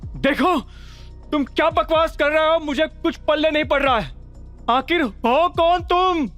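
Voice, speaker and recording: A man's voice: very high-pitched, a little slow, a bit bright, voice pushed up. A man voicing a nervous, indignant, loyal gangster henchman in an audio drama, stammering and repeating words. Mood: angry, bewildered. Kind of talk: audio drama character acting. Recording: music behind.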